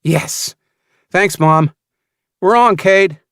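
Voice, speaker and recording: A man voicing an energetic, keen seventeen-year-old boy in an audiobook, polite and eager. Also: high-pitched, very slow, clean tone, no room sound, clean studio sound, voice pushed up, heavily acted.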